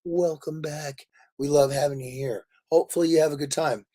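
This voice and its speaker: A man hosts a casual livestream in a somewhat higher-pitched voice that is raspy and worn from too much talking. He rambles along, effusive and jokey, addressing his viewers like old friends. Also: fairly even intonation, fairly quick, warm tone. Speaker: livestream host. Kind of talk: casual chat with live viewers.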